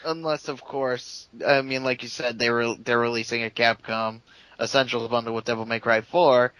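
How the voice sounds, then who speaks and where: A man appearing as a guest on a casual gaming podcast, throwing in quick quips and movie references. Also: mid-range voice, steady tone, fairly bright tone, smooth, no room sound, low-quality recording.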